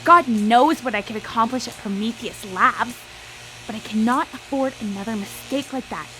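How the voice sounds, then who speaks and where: A driven young woman inventor in a radio drama. Her voice is fairly high and bright, intense and deliberate as she urges herself on. Faint music sits behind her. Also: poor audio. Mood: anxious, determined.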